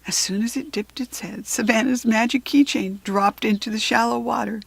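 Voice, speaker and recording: A woman with an American accent reading a children's book aloud in expressive narration, with a breathy voice. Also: fairly high pitch, moderate pace, no room sound.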